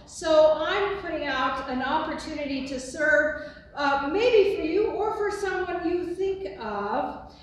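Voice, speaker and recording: An older woman pastor with a booming voice giving church announcements and a benediction, recorded by a distant phone in a large sanctuary. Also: a little hiss or hum. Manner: booming, expressive, gesturing widely. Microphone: phone on a tripod from afar. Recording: echoey sanctuary from far off.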